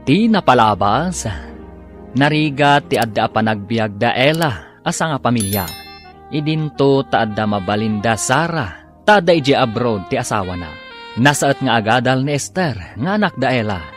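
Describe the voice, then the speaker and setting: A man's voice: medium pitch, animated, moderate pace. A man with an Ilocano accent narrating the introduction to a radio drama as its announcer. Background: music.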